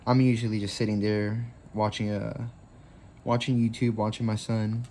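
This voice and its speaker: Mellow, low-voiced man in his twenties. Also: moderate pace, slight background noise. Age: 20s. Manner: rambling, relaxed, lots of fillers. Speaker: craft tutorial host. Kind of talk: casual craft tutorial.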